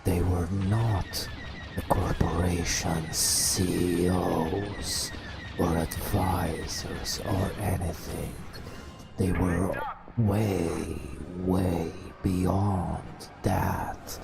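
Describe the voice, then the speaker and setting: A man telling a bedtime story in a hushed ASMR style, in drawn-out phrases with long pauses. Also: low-pitched, little pitch movement, vocal fry, very noisy. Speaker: narrator. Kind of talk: whispered ASMR bedtime storytelling over game footage.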